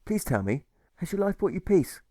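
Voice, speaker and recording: A man voicing a gentle, friendly prisoner in an audiobook, speaking in a kindly, coaxing, persistently cheerful way. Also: high voice, sing-song, normal speed, no room sound, clean studio sound, voice pushed up. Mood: gently pleading. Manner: pleading, kindly, repeats words.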